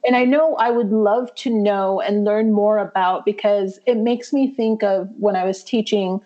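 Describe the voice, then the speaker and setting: A woman's voice: medium pitch, steady tone, moderate pace, dark tone. A woman hosting a podcast whose first language is Romanian, talking earnestly and rambling with fillers. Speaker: podcast host. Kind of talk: casual conversation. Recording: slightly dull, lo-fi sound.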